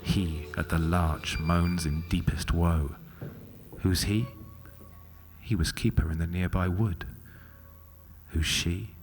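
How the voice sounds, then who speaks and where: A man performing dramatic poetry narration in a hushed, intense, theatrical manner. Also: sits low, fairly even intonation, takes it slowly, pauses often. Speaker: actor reciting poetry. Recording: loud music under the voice. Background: music.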